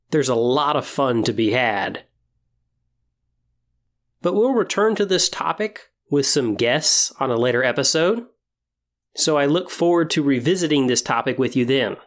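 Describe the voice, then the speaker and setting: A man hosting a podcast, speaking directly to listeners in an encouraging, semi-scripted way. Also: fairly high pitch. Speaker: podcast host. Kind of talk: semi-scripted podcast talk on tabletop roleplaying.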